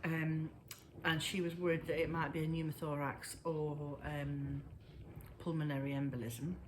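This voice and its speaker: Breathless Englishwoman chatting haltingly. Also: sits low, level tone, a little hiss or hum. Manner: halting, pauses often. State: breathless, wheezy. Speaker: knitting vlogger. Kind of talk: casual chat to camera. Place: at home indoors.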